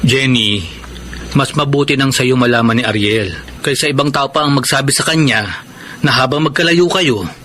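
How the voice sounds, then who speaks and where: An actor with a Filipino accent playing a concerned, slightly jealous best friend in a radio drama. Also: male voice, mid-range voice, normal speed, bright, forceful tone, a little hiss or hum, close to an everyday voice. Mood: reproachful concern.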